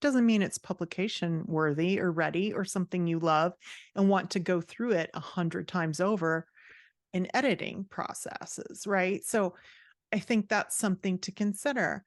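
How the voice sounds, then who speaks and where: A woman's voice: fairly low pitch, normal speed, no echo, clean studio sound. A woman writing coach talking conversationally as an interview guest, giving blunt, emphatic advice and acting out quotes as she goes.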